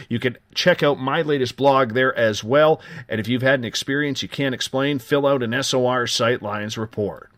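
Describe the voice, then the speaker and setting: A man's voice: mid-range voice, fairly bright tone, no echo. A man hosting a live late-night radio talk show, giving upbeat, joking promotional patter. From Canada.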